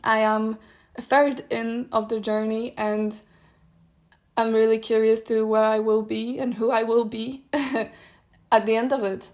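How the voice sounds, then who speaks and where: A young woman whose native language is Polish talks through her thoughts on a solo podcast. Her voice sits a little high but stays fairly level in tone, and she muses in a meandering way, with soft laughs between ideas. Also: level tone, a little slow. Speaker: podcast host. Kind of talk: solo reflective podcast monologue.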